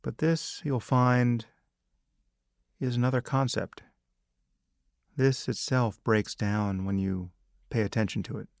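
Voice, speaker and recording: A man leading a guided meditation, giving calm, measured instructions with long pauses. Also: mid-range voice, gravelly, no echo, studio quality.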